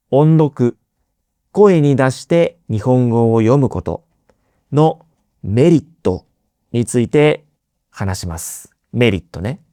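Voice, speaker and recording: A man teaches learners at a very unhurried pace, dwelling on each word and repeating key terms so they sink in. His voice is warm and mellow, with a smooth, resonant clarity. The sound is close and dry, with no room in it. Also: mid-range voice, no echo. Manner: deliberate, repeats key words, friendly questions to listeners. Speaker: Japanese teacher, podcast host. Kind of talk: slow, simple explanation for learners.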